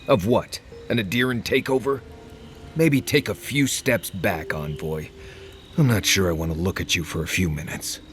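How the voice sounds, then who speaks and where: A man's voice: sits low, moderate pace, slight background noise, close to an everyday voice. An actor playing a blunt, world-weary male adventuring companion in a fantasy video game, talking in a conversational way. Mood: dismayed, reproachful. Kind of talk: naturalistic video game dialogue.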